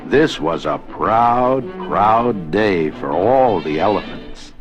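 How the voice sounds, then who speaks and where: A man narrating a children's read-along storybook in an expressive, deliberate storytelling manner. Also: fairly low pitch, muffled recording. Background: music.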